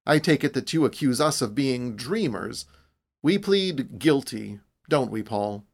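A man's voice: mid-range voice, lively intonation, a little slow, gravelly. A man voicing an idle dreamer of about thirty in a storybook, wry, laid-back and world-weary. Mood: wry, resigned.

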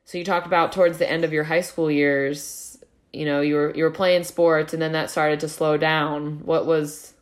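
A woman's voice: deep voice, little pitch movement, normal speed. A woman hosting a podcast, asking questions in a friendly, chatty way with casual slang. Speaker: podcast host. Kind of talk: casual interview chat.

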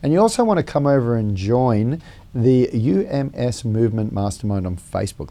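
A middle-aged man who has lost his voice hosts a gym podcast, speaking quietly and rambling with many fillers and restarts. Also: medium pitch, gravelly. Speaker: gym co-founder and podcast host. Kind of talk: casual podcast talk.

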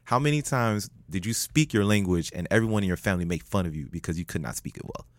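A man telling a story as a podcast guest, animated, jumping up in pitch when he jokes. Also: fast, dry recording. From United States. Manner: animated, rises sharply in pitch when joking. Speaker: guest, public speaker.

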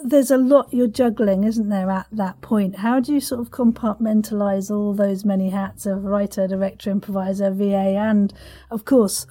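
Mellow-voiced woman podcast host. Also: medium pitch. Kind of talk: casual interview chat.

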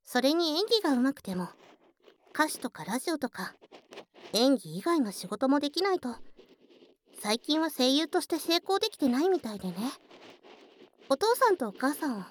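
Woman to play earnest young childhood friend, cafe waitress: girlish high voice, animated, bashful tsundere edge, intimate ASMR drama. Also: slight background noise. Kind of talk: Japanese ASMR situation voice drama acting, intimate one-sided conversation.

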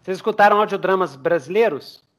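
A man's voice: high-pitched, fast talker. A Brazilian man hosting a podcast in casual, enthusiastic interview chat. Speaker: podcast host.

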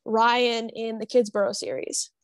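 A woman's voice: on the high side, flat delivery, a little slow, dry recording. A woman talking casually as a panelist in a podcast discussion. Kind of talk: casual chat.

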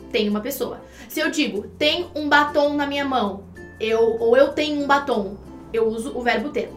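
A woman's voice: high voice, roomy sound. A Brazilian woman co-hosting an online English lesson, explaining step by step with examples in a conversational way. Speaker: English teacher and co-host.

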